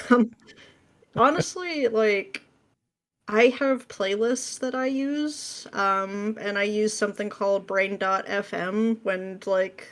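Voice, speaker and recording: Informal woman guest, mid-pitched voice. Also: fairly even intonation, slow, a bit bright. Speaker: interview guest, script writer. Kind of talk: casual chat, answering questions.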